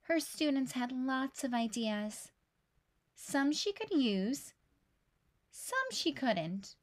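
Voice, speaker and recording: A woman reading a children's picture book aloud in an expressive storytelling style. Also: high voice, slow.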